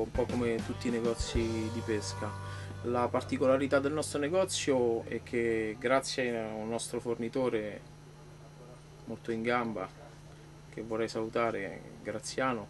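Man, 30s, shop owner, casual explanation, relaxed, music in the background. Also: mid-range voice. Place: small fishing tackle shop, indoors. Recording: music playing behind the voice.

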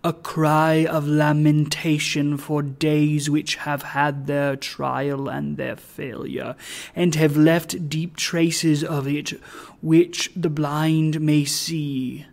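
A narrator voicing a slow, solemn bell spirit. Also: little pitch movement, vocal fry, darkish tone. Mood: stern reproach. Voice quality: low, deep. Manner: slow, grave, accusing oratory. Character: solemn, stern ancient goblin spirit of a great bell.

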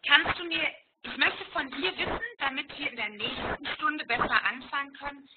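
A woman in her thirties from Germany teaching German over a video call from an airport, speaking slowly and clearly and repeating phrases. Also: high-pitched. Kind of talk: online language lesson. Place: airport, indoors. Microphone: laptop on video call. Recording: tinny video call line with noise.

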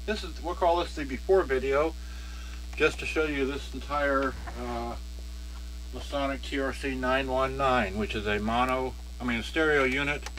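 A man's voice: mid-range voice, steady tone, normal speed. A man giving a casual, unscripted hands-on demonstration, rambling and half muttering to himself as he handles things. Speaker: presenter of a product review. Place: indoors at a cluttered wooden workbench. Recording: music and electrical hum behind. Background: music, mains hum.

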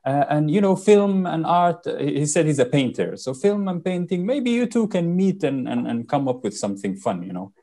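A man's voice: a little high, big pitch swings, normal speed, hardly any pauses. Young man filmmaker on headset call. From born in Norway, raised in Sudan. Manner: warm, searching for words, many fillers. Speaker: documentary filmmaker guest. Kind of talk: interview answers over video call. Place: home room with shelves. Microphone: headset on video call.

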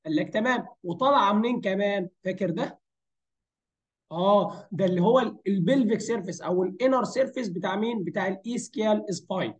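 Male Egyptian lecturer, high voice worn with fatigue, racing delivery, informal explanations peppered with English terms. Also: fast. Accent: Egyptian Arabic. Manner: conversational, asks and answers his own questions. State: hoarse, strained voice from fatigue. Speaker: anatomy lecturer. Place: voice-over for a screen recording.

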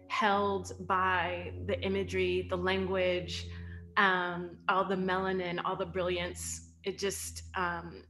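An American woman, a guest poet, speaking informally and earnestly over a video call, with halting phrasing. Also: fairly low pitch, a bit bright, a little hiss or hum. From Delaware, United States. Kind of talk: informal introduction to a reading. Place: bright room at home, indoors. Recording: roomy, echoing video-call audio.

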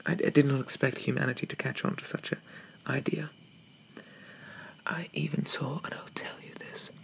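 A man playing a shy, apologetic young zombie in an ASMR roleplay, with hesitant, stammering, old-fashioned politeness and a soft whisper. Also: medium pitch, rough recording. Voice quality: soft, breathy whisper with creaky edges.

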